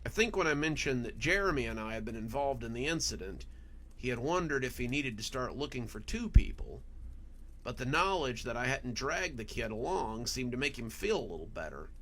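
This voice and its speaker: A man's voice: medium pitch, big pitch swings, brisk, a bit bright, a little hiss or hum. A man narrating a scripted first-person horror story as its storyteller.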